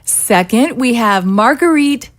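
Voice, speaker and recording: A woman's voice: a little high, lively intonation, takes it slowly, no echo, studio quality. A woman presenting a fashion panel show as host, introducing and questioning panelists in a mock-formal way.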